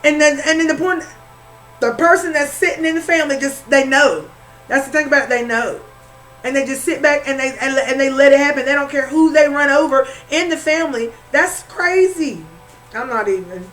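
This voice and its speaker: A woman's voice: high-pitched, fairly quick, a bit bright, faint music behind, rough recording. A woman with a Southern accent doing an informal tarot reading, repeating herself and calling the listener honey. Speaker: spiritual reader.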